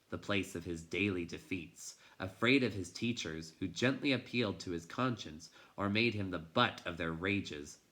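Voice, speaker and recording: Bright-voiced man reading a storybook dramatically. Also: sits low. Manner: dramatic, shifting from hushed to intense. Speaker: teacher reading a storybook aloud. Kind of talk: expressive children's book read-aloud.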